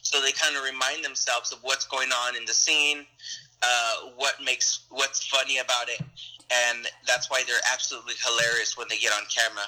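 Young guy actor being interviewed, super bright voice, rambly and laughy. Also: medium pitch, level tone, normal speed, low-quality recording. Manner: friendly, rambling, laughs often, many restarts. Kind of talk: casual interview answers.

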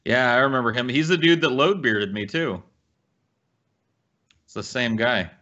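A man's voice: mid-range voice, normal speed, bright, forceful tone, dull audio. A man co-hosting a podcast in casual chat, slangy and given to swearing. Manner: slangy, profane. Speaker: podcast co-host.

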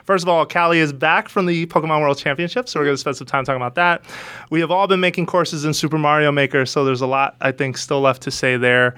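A man's voice: fairly high pitch, steady tone, fast, pushed and bright, clean tone. Upbeat man hosting a podcast. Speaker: podcast host. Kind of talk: casual chat.

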